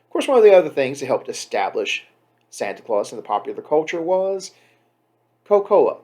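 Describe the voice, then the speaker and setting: A man's voice: fairly high pitch, very animated, a little fast, warm tone. A man giving a casual, off-the-cuff introduction as a host, chatty and emphatic with wry asides. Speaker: host introducing a poem reading.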